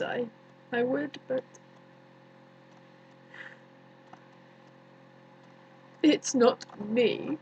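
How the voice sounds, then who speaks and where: Woman acting a slow-spoken, bitter grieving young woman. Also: high voice, a little mellow, lo-fi sound, slight background noise. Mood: sorrowful, haunted. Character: bitter grieving young woman haunted by her dead cousin. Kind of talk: dramatic stage monologue, amateur performance.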